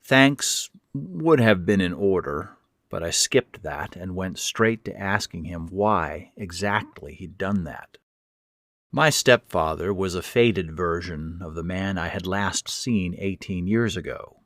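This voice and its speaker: Slow, low-pitched male fiction narrator. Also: fairly bright tone, dry recording, studio quality. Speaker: author and narrator. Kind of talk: scripted first-person horror fiction narration.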